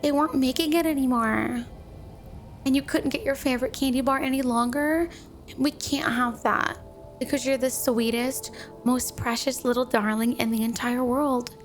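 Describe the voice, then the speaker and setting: Woman acting a high-voiced, clingy snow-woman girlfriend. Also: steady tone, faint music behind, lightly acted. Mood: sweet, doting. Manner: sugary, coy, affectionate, unhurried. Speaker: ASMR roleplay performer. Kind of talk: ASMR romantic roleplay, addressed to the listener.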